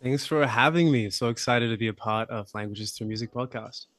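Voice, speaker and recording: A young man from Australia, a podcast guest, talking in a relaxed, thoughtful way with lots of fillers and hedges. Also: mid-range voice, brisk, dry recording. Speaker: podcast guest, app founder. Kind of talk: casual interview conversation.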